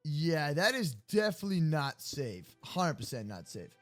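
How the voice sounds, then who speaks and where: A man improvising game roleplay commentary, animated and slangy, reacting aloud as he goes. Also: on the high side, normal speed, faint music behind. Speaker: gaming video host.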